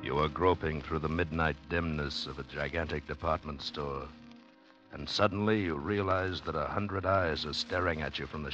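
An actor playing an exultant, secretive, penniless poet, narrating theatrically in a 1940s radio drama. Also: male voice, very deep voice, muffled recording. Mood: ominous. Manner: theatrical, intense, declamatory. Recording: old 1940s radio broadcast, music behind.

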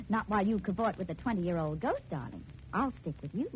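A woman's voice: medium pitch, wildly swooping pitch, moderate pace, soft background music. An actress playing a detective's wisecracking female companion, dry, sarcastic and teasing, in a 1940s radio drama. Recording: muffled, noisy 1940s radio recording.

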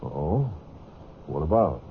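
A man's voice: extremely low pitch, very animated, vocal fry, slight background noise, heavily acted. An actor playing an uneasy, evasive American man, hesitant and guiltily polite, in an old radio drama. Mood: guarded. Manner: hesitant, halting. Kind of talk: 1940s-50s radio drama acting. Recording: muffled vintage radio recording.

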